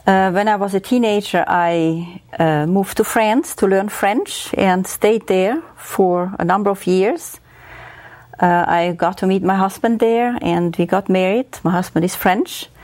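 A woman's voice: mid-range voice, measured pace, darkish tone. A woman from Switzerland whose first language is German, a music professor being interviewed on the radio, speaking hesitantly with many filler sounds.

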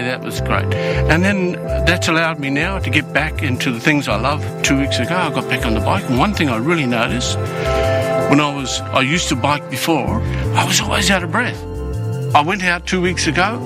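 A man giving a personal testimonial in a plain storytelling way, with some hesitations. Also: fairly high pitch. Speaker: testimonial speaker in a promo. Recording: clear music bed behind the voice.

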